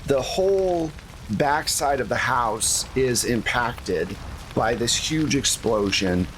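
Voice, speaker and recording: Guy game master narrating a tabletop rpg, casual chatty, mid pitch, bit of rainy noise in the back. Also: expressive, takes it slowly, fairly bright tone, low-quality recording. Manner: casual, conversational.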